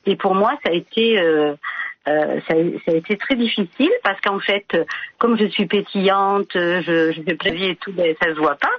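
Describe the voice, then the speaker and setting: A woman tells her own story in a halting, hesitant way, full of fillers. Her voice is a little breathy. The line sounds muffled and dry. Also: fairly low pitch, no echo. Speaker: guest sharing her experience.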